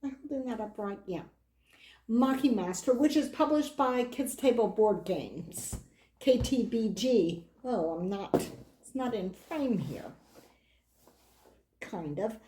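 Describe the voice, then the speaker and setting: Hoarse middle-aged lady rambling about a board game, slightly high voice, takes her time. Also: animated, slow pace, mellow. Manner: chatty and rambling, with side remarks and restarts. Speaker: board game channel host. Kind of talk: casual chat reviewing a board game. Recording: roomy echo.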